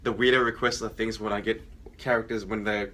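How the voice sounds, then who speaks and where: A man's voice: on the low side, level tone, brisk, fairly bright tone. A man co-hosting a fan show, chatting casually and a little hesitantly as he shows off his haul. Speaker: co-host of a comics fan show. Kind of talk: casual show-and-tell chat. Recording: noisy room ambience.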